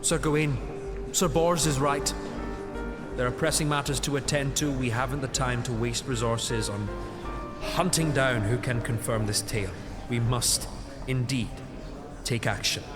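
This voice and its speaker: An actor playing a scheming young knight who takes the crown, measured and coldly formal in a falsely humble way. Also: male voice, mid-range voice, steady tone, long reverb tail, loud music behind the voice, close to an everyday voice. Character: scheming young male knight seizing the throne. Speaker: Mordred. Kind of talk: audio drama acting.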